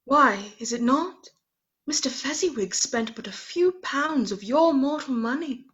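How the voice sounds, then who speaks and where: A calm, sorrowful young spirit. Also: female voice, high-pitched, dull audio. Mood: solemn. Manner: calm, measured, gently reproachful. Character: Christmas past spirit who speaks as Belle, young woman, calm and sorrowful. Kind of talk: radio play acting.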